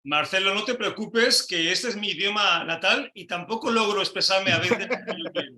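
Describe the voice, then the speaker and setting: A man's voice: sits high, moderate pace, bright, forceful tone, roomy sound, slight background noise. A man hosting a casual panel discussion, putting questions to each guest and backing them with short "ujú" sounds. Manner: leads with questions, frequent "ujú" backchannels. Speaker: host.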